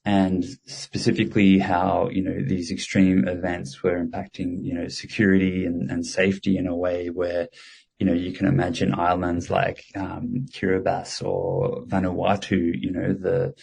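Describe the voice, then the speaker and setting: A man answering interview questions in a relaxed way, with many fillers and restarts. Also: low-pitched, normal speed, gravelly. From Australia. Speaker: guest, studio co-founder.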